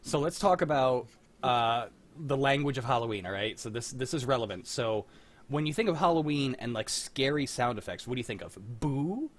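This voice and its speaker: A man's voice: medium pitch, animated, moderate pace. A man co-hosting a livestream, chatting casually and telling a rambling story. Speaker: co-host of a livestreamed old-radio table read. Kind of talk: casual chat.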